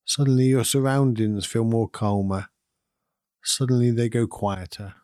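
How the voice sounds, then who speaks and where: A man leading a guided meditation in a calm, soothing, unhurried manner with long pauses between phrases. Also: medium pitch, dry recording, clean studio sound. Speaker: meditation guide and podcast host. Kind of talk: guided meditation, gentle instruction.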